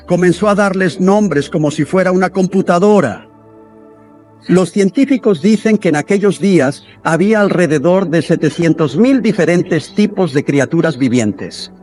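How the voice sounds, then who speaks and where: A man delivering a sermon as a voice-over, in an earnest, steady preaching manner. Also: sits high.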